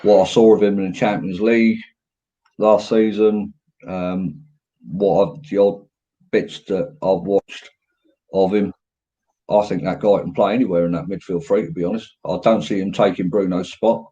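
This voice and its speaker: A man with a southern English accent and a very deep voice, hosting a casual football fan show and reading out viewers' comments. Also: steady tone, moderate pace, dark tone, breathy edge. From England. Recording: dull, lo-fi audio.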